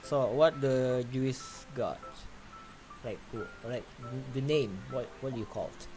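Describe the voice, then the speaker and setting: A man's voice: mid-range voice, a little slow, rough recording. A man from Indonesia with an Indonesian accent, pressing strangers with repeated questions on a casual video chat. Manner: persistent, repeats his questions. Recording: loud background music.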